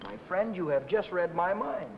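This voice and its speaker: An actor playing a restless young auto mechanic short of money, with an American accent and breezy, slangy talk in old crime film dialogue. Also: male voice, high-pitched, animated, normal speed. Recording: noisy old 1950 film soundtrack.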